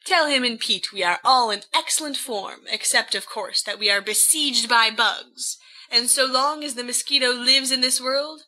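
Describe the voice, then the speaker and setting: A woman's voice: a little high, sharp tone, low-quality recording. A woman reading fiction aloud as a cocky, flamboyant, lovestruck young man's letters, with playful theatricality. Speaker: volunteer audiobook reader.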